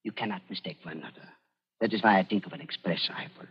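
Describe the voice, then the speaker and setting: An actor playing a fussy, evasive German doctor in a 1940s radio drama, with an accent from Germany and a halting, ingratiating manner. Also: male voice, deep voice, slightly rough. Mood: anxious, placating. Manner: ingratiating, halting, repeats himself nervously. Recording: dull, muffled 1940s radio recording.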